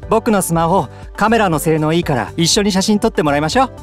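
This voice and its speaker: A man's voice: a little high, fast talker, soft background music, close to an everyday voice. An actor playing a polite but cheeky, teasing young man in a Japanese anime-style voice drama.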